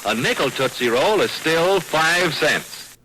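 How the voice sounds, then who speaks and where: A man's voice: on the high side, expressive, takes it slowly, sharp tone, a little hiss or hum, rough recording. A man announcing a 1940s radio advertisement for children in an enthusiastic, folksy sales style, on an old recording.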